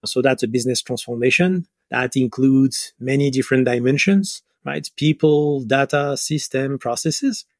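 Middle-aged man, French accent, mid-pitched voice, interview guest, explanatory talk, very dry recording. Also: normal speed, hardly any pauses, no room sound. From France. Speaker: podcast guest, business software advisor. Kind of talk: explanatory conversational interview answers.

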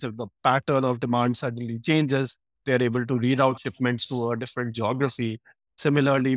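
A man's voice: mid-range voice, dry recording. A man speaking as an expert guest in an interview, explaining things in a structured, methodical way. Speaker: guest expert, supply chain practice lead.

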